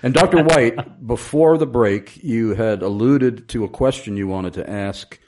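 A man's voice: medium pitch, measured pace, darkish tone, slight background noise. A male radio host asking curious, chatty questions of a guest. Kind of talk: radio call-in interview.

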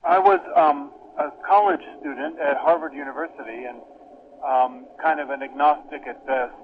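A man's voice: a little high, big pitch swings, moderate pace, vocal fry. An older man who grew up in Europe, a seminary professor, answering interview questions thoughtfully with many hesitations. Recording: tinny phone line with faint hiss.

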